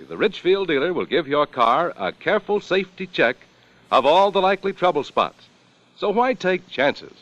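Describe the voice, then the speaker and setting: Lively 1950s male radio announcer. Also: a little high. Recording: dull 1950 radio recording, music behind.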